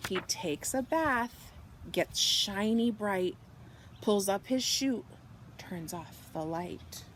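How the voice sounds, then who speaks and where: Lady reading a kids bedtime book super slow and expressive, bit of room noise behind her. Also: medium pitch. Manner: slow, animated delivery with drawn-out words and hushed, whispered good nights. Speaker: children's storybook reader. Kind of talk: expressive picture-book read-aloud for young children.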